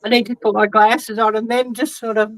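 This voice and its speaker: A woman's voice: on the high side, level tone, a little fast, dry recording, low-quality recording. A woman talking over a video call as a guest, hesitant and full of ums. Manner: hesitant, many ums, soft trailing. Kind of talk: casual video call chat.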